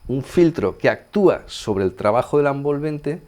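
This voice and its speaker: A man's voice: mid-range voice, animated, normal speed, a little mellow. A man with a Castilian accent from Spain explaining gear step by step in an informal, conversational tutorial, often checking in with his listeners. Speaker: sound engineer demonstrating a synthesizer. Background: synthesizer sounds being demonstrated.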